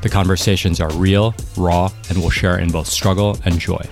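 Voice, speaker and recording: A man hosting a podcast, reading a scripted intro and then interviewing a guest, with a measured manner and some hesitant restarts. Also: low voice, steady tone, faint music behind. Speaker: podcast host.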